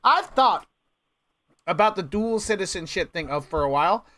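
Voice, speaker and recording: Animated man with swooping pitch. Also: normal speed, no echo. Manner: interjects, repeats phrases. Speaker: prank call host. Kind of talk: casual, playful conversation.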